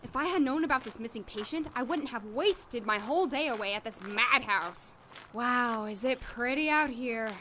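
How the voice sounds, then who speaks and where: A blunt, idealistic doctor, played by a woman with a high, very bright voice. The delivery is conversational audio drama acting, with faint noise behind it. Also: normal speed. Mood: musing, determined.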